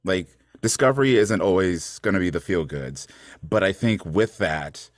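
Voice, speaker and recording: A man's voice: low voice, normal speed, no room sound, studio quality. A man talking casually and thoughtfully as a performer in a panel conversation. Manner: informal, thoughtful, frequent 'like'.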